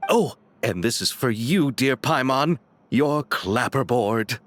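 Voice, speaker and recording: A man's voice: medium pitch, animated, slow, a little hiss or hum. A man playing an enthusiastic, courteous, flamboyant film crew leader in a video game, with formal phrasing.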